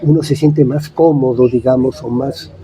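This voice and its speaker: A man in his seventies, a native Italian speaker, giving thoughtful, measured interview answers with frequent pauses. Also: medium pitch, steady tone, warm tone, low-quality recording. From Italy. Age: 70s. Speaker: guest writer.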